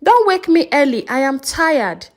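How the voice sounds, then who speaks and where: A spoilt ten-year-old boy from a village folktale, played by a woman, high and very animated. He is petulant and orders people about like a small king. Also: measured pace. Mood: petulant demanding. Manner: demanding, petulant.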